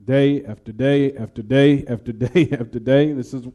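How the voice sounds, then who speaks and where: A man's voice: mid-range voice, fairly even intonation, normal speed, dark tone. A man teaching a class on hadith, explaining in English with recited Arabic and often quizzing the students with "what?" questions. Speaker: Islamic teacher.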